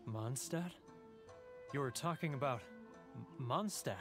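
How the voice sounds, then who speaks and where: A man's voice: medium pitch, animated, a little slow, fairly bright tone. Bitter game merchant, male, brusque, suspicious, music underneath. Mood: suspicious, thrown. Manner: scornful, brusque. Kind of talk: video game voice acting. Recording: music behind the voice.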